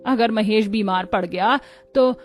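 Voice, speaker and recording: A woman's voice: mid-range voice, a little slow, soft background music, close to an everyday voice. Woman playing a fussing, caring old grandmother. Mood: anxious, fussing. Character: anxious, caring elderly mother-in-law and grandmother. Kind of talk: Hindi animated moral story acting.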